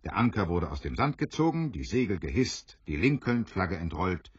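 Man, audio drama narrator, scripted storytelling, very low pitch, expressive intonation, crisp tone. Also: moderate pace, no room sound, muffled recording.

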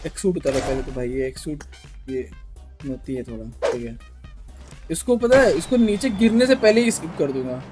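A man's voice: fairly high pitch, animated, normal speed. A young man chatting on a game livestream in a pleading, complaining way. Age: young adult. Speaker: live game streamer. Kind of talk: casual livestream chat while gaming. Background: background music.

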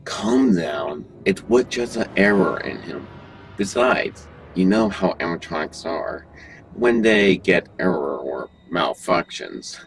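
A man acting an evasive, sinister restaurant owner with halting delivery in an amateur horror audio drama. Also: sits low, expressive, creaky, faint music behind, heavily acted. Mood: defensive, dismissive. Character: evasive, sinister male animatronic restaurant owner hiding murders.

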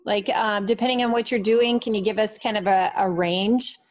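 A woman's voice: medium pitch, fairly even intonation, normal speed. A woman moderating an online tour, casually relaying questions in a tentative, conversational way over a video call. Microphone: remote video call. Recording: dull lo-fi video call audio.

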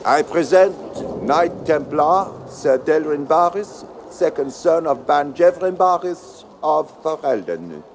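An actor playing a formal herald in a video game, announcing titles in a ceremonious, declamatory way. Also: male voice, a little high, lively intonation, slow, hardly any pauses, a little hiss or hum. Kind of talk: dramatic video game dialogue.

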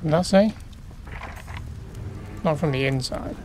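A man's voice: on the high side, takes it slowly, a little mellow, faint music behind, low-quality recording. A man casually commenting as he plays a horror game, muttering questions to himself as he explores. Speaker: gaming YouTuber. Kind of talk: casual let's play commentary.

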